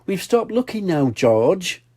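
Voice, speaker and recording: A man's voice: sits high, sing-song, takes it slowly, darkish tone, voice pushed up, heavily acted. A man voicing a kind, patient mother pig in a children's storybook. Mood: gentle.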